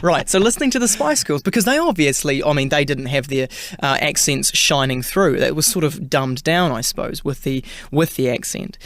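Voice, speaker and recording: A man's voice: on the high side, animated, normal speed, fairly bright tone, dry recording. A man from New Zealand with a New Zealand accent, chatting as a singer guest in a radio interview, with many fillers and restarts.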